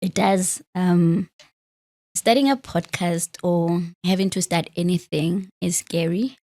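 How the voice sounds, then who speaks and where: A woman's voice: lowish voice, slow pace, dark tone. Candid woman in her late thirties. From Free State, South Africa. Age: late 30s. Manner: open, self-deprecating, laughs easily. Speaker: podcast host, interviewed guest. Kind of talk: candid conversational interview.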